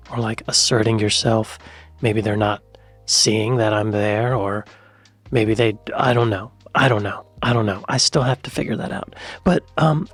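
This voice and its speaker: A husky, soft-spoken American man chats casually as he reacts to a video. He muses aloud, trails off and often repeats himself. Faint music sits under his voice. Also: mid-range voice, dry recording. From United States. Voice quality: soft quiet voice.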